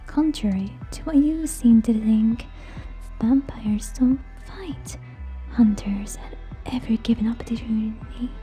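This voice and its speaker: Girl doing a tsundere vampire lady for asmr, teasing n scornful, whispery, higher pitched but super mellow, music behind. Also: natural voice. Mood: dismissive, scornful. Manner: breathy whispering, slow and deliberate, scornful. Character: haughty tsundere female vampire, dismissive and teasing. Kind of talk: ASMR roleplay, scripted. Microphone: close ASMR microphone.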